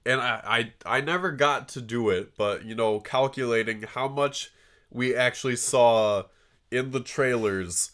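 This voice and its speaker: A man's voice: medium pitch, unhurried, a bit bright. Man with a nasal cold voice. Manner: hesitant, restarts often. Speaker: podcast co-host. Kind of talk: casual chat.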